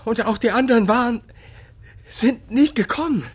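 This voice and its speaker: An actor playing an anxious, subservient male clerk in a radio drama, halting and agitated. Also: very high voice, slightly rough. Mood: breathless, alarmed. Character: flustered, anxious, subservient male office manager. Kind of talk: German radio drama acting.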